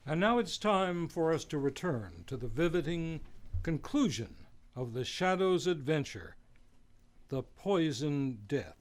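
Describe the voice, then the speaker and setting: A man as announcer of an old-time style radio show, dramatic and lively. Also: a little high, a little slow.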